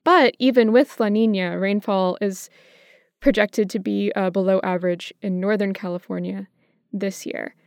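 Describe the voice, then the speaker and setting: An American woman co-hosting a podcast, explaining things in a measured, conversational way. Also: medium pitch, fairly even intonation, clear, resonant tone, dry recording, clean studio sound. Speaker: podcast co-host.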